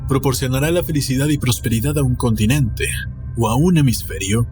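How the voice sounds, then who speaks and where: A proud gentleman played by a male narrator speaks formally and grandly, guarding secrets and insisting on his sanity. It is a storybook reading with music clearly behind it. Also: medium pitch, fairly quick, lightly acted. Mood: grandiose, secretive.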